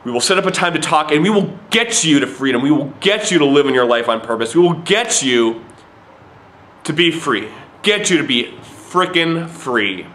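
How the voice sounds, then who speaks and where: A man giving an urgent, repetitive, preachy sales pitch straight to the camera. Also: big pitch swings, moderate pace, a bit bright, echoey room, slight background noise. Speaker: recruiting pitch to viewers.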